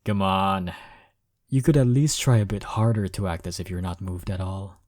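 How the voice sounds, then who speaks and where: Deep-voiced, cocky flirtatious college rival acted by a man. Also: moderate pace, no echo, studio quality, natural voice. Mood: smug, flirtatious. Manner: smug, flirtatious, drawling teasing with soft chuckles. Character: cocky, teasing male college rival smitten with listener. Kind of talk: intimate romantic audio roleplay.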